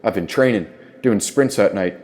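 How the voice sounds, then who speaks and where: Man voicing an earnest warrior opossum, low-pitched. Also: moderate pace, darkish tone, close to an everyday voice. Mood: cheerful bragging. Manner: earnest, proclaiming, grandly philosophical. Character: wise, earnest, athletic opossum, a spiritual warrior mentor.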